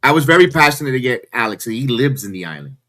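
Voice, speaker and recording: Bright, earnest man on a podcast. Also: mid-range voice, sing-song, quick pace. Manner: earnest, repeats himself when worked up. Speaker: wrestling podcast host. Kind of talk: casual podcast chat.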